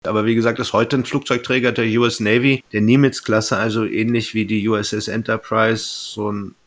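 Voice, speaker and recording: A man's voice: fairly low pitch, level tone, a little fast. A man co-hosting a podcast, chatting casually in a colloquial, joking manner. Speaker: podcast co-host. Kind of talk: casual chat.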